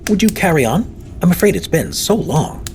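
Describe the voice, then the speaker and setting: High-pitched, wavering old bard. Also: male voice, huge pitch swings, moderate pace, a little mellow, a little hiss or hum. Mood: humble, apologetic. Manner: wavering, humble, pleading delivery. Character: frail aged male tavern bard, apologetic, pleading, hysterical.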